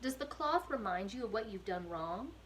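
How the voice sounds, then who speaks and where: Sly quiet rat guy done by a woman, silky and soft, storybook style. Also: medium pitch, lively intonation, moderate pace, a little hiss or hum, lightly acted. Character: sly soft-spoken male rat, cunning and calm.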